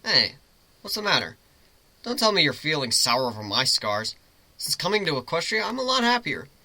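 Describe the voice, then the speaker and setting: A man's voice: a little high, sing-song, moderate pace, sharp tone, no echo, exaggerated voice. A man voicing a cocky, joking male griffin who teases and rambles informally in a dramatic story reading. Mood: reassuring, joking. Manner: informal, teasing, rambling explanations.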